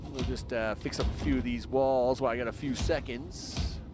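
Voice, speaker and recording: A man's voice: medium pitch, fairly even intonation, moderate pace, noisy background. A man in his early fifties, rambling casually with lots of fillers while commenting on his own game during a live stream. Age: 50s. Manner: rambling, chatty, talks to himself and chat with lots of fillers.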